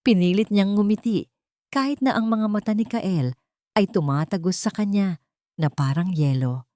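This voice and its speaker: A woman narrating a scripted drama as a storyteller, in a measured, suspenseful way with dramatic pauses. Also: mid-range voice, mellow, dry recording, clean studio sound.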